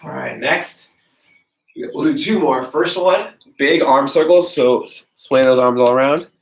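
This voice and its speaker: A man's voice: mid-range voice, unhurried, creaky. A man in his thirties with a stuffy, congested nose, casually coaching a live workout while demonstrating stretches in a garage gym. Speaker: fitness coach. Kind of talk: live-streamed workout instruction. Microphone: camera mic from across the room. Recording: echoey garage, voice from a distance.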